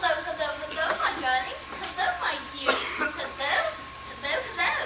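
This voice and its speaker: A giggly, cooing clown lady, played by a woman, with a very high, sing-song voice that swoops around. She is far from the microphone, with echo and background noise. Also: big pitch swings, normal speed, a loud crowd. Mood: coy. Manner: cooing, giggly, mock-offended. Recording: roomy, distant, noisy live recording.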